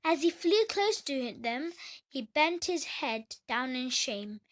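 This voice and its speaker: High-pitched, slow-paced woman reading a story. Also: very animated. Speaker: children's story reader. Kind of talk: read-aloud storybook narration.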